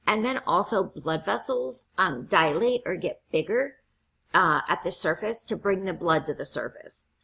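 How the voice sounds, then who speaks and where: A woman instructor walks her students through a lecture step by step, often checking in with "right?". Her voice is husky and gravelly, with the fry of someone losing her voice. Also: on the low side, a bit bright. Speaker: college instructor. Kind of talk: classroom lecture.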